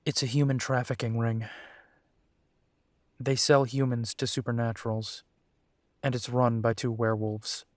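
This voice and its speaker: A man's voice: mid-range voice, little pitch movement, measured pace, long pauses, no echo, lightly acted. A man playing a young, protective and determined werewolf in an audio roleplay, speaking earnestly. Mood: worried, tender.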